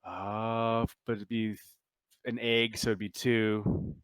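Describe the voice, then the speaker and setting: A man acts as game master for a tabletop roleplaying session. His voice sits on the low side and is smooth and clear, in a dry recording. He talks casually, with some hesitation as he works through rules and scenes. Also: flat delivery, takes it slowly, no echo.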